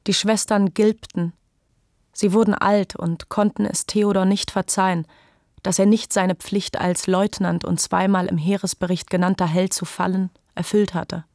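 Female narrator for literary radio drama, lowish voice with plenty of creak, dry sound. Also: a little mellow, no echo.

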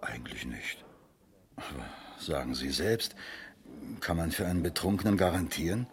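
A man's voice: deep voice, animated, normal speed. An actor playing an embarrassed, evasive small businessman questioned as a witness in a German radio crime drama, explaining himself defensively. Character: male drinking companion, small factory owner, embarrassed, evasive witness.

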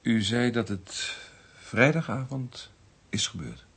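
A man's voice: mid-range voice, animated, takes it slowly, creaky, fairly bright tone, husky, exaggerated voice. A man acting a deferential junior detective in a radio play, speaking politely. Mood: polite, careful. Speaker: actor in a radio drama.

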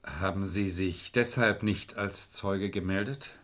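A man acting in a German radio crime drama as a determined, businesslike lawyer, curt, controlled and insistent, with a husky voice. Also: low voice, measured pace, a bit bright. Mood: probing politeness.

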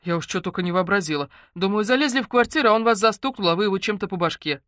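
Woman voicing an excitable, fretful young woman, pitched up. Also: rapid-fire, no room sound, clean studio sound, lightly acted. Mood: animated. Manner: fretful, exclamatory, blunt. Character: Natashka, young woman in hospital, excitable, demanding, anxious. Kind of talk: audiobook character dialogue.

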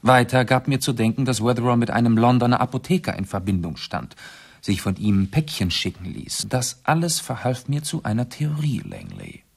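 An actor playing a shrewd English gentleman detective in a 1970s radio play, speaking in a deliberate, analytical and urbane manner. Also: mid-range voice, big pitch swings, vocal fry, husky, heavily acted. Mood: analytical, composed. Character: shrewd English gentleman detective, methodically explaining his deductions.

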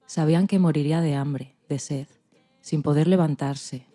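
Low, mellow woman storyteller from a Spanish podcast. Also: normal speed, faint music behind. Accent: Spanish (Spain). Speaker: podcast narrator. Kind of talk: expressive storytelling narration.

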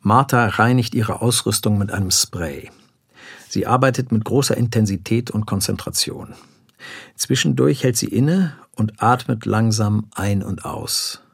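Gravelly, husky male narrator. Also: fairly low pitch, unhurried. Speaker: narrator of scene directions. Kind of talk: scripted narration, reading stage directions.

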